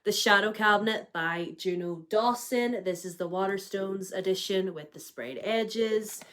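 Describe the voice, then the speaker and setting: A woman's voice: mid-range voice, measured pace, a bit bright. A young woman with a cold chatting casually about books she bought, with plenty of ums and likes. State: has a cold, may cough. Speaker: book vlogger. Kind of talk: casual chat.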